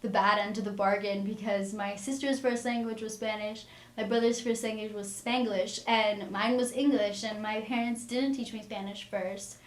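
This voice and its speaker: A woman's voice: mid-range voice, steady tone, normal speed. A young woman in her twenties, a college student, answering interview questions in a chatty way, full of "like". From Miami, US. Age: 20s. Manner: chatty, lots of "like". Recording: roomy.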